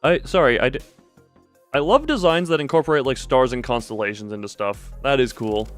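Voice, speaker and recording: Lively streamer with music faintly behind. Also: mid-range voice, a little fast. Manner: rambling, reacting to chat. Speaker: livestream host. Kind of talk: casual game stream chat, reading dialogue aloud.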